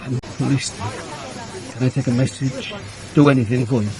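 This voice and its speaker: Actor as brisk police inspector amid loud clamour. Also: male voice, mid-range voice, fairly even intonation, crowd noise, lightly acted. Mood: reassuring. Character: male police inspector at an accident scene. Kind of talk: radio drama acting. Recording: busy outdoor scene with loud background clamour.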